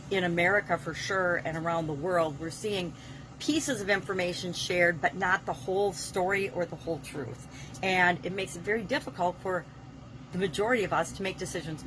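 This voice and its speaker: A woman's voice: fairly low pitch, background noise. A woman hosting an informal business advice monologue, explaining things with emphatic stress on key words. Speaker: host of a daily business advice series.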